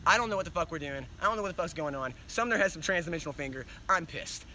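Brash, fast-talking man acting as a cocky slacker. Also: fairly high pitch, lively intonation, bright, forceful tone, slight background noise, low-quality recording. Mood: angry, fed up. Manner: brash, swaggering, repeats himself. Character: cocky, profane young slacker guy, exasperated with his friends.

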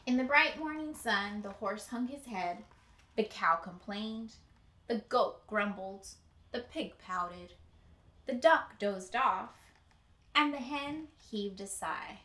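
A young woman reading a picture book aloud as a teacher to children, with deliberate, emphatic storytelling. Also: medium pitch, sharp tone. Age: young adult. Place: indoor room with bookshelves. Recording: roomy indoor echo.

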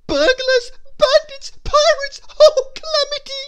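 A woman's voice: very high-pitched, fairly even intonation, measured pace, pitched above the performer's own voice. A woman voicing a terrified, jittery male storybook jelly creature who stammers and panics. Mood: panicked. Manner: stammering, trembling.

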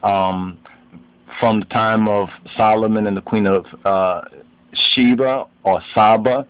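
A man giving an informal religious history lecture in a didactic, halting way, repeating and glossing his terms as he goes. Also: fairly low pitch, fairly even intonation, no echo. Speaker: video narrator and lay religious teacher. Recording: dull lo-fi home recording.

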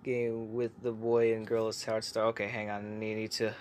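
A young man reacting to viewer comments in casual chat from his laundry room, keeping quiet so his family can sleep, with many fillers and restarts. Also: on the low side, monotone, moderate pace, a little hiss or hum. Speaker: YouTuber reading comments.

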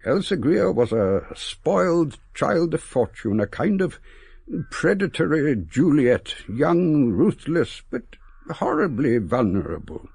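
An actor playing a sentimental, eloquent old English gentleman in a radio drama, reminiscing with wistful, theatrical, deliberate phrasing. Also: a little high, sing-song, vocal fry, breathy edge, dry recording, full character voice. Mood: mournful, eloquent. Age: older.

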